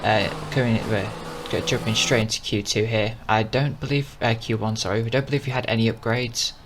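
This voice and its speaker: A man with a croaky voice, casually narrating his racing game, rambling with fillers and self-corrections. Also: on the low side, steady tone, fairly quick, background noise. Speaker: gaming video host. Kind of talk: casual gameplay commentary. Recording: voice over loud game music.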